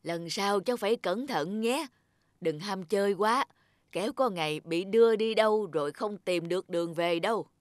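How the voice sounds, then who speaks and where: A woman's voice: medium pitch, normal speed, dry recording, lightly acted. Woman as a kindly older cartoon cat, dry sound. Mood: gently advising. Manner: caring, gently advising. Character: kindly older cat, caring auntly or uncle-like helper. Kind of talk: dubbed children's cartoon dialogue.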